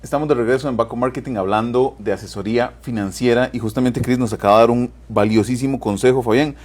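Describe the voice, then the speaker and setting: Male talk show host, quick and chatty delivery. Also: medium pitch, darkish tone.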